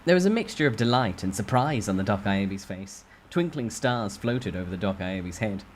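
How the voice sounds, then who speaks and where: Low-voiced man narrating a dramatic story. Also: animated, a little fast, a little hiss or hum.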